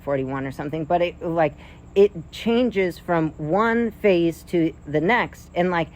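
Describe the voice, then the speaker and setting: A man's voice: high voice, slight background noise, rough recording. A man in his thirties talking through a science topic in a casual monologue, rambling and hesitant with many fillers and restarts, but wondering and enthusiastic. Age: 30s. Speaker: vlogger.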